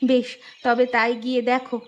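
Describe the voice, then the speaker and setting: A woman voicing a devoted, selfless village wife, gentle and reassuring, in an animated story. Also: on the high side, normal speed, highs cut off, lightly acted. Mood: caring, reassuring. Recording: clear music behind the voice.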